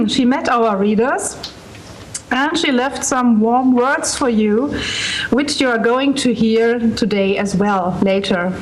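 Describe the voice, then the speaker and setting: Woman, German accent, unhurried event presenter, faint noise on a video call. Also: fairly high pitch, rough recording. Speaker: library staff member presenting a project. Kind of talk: prepared speech at a launch event.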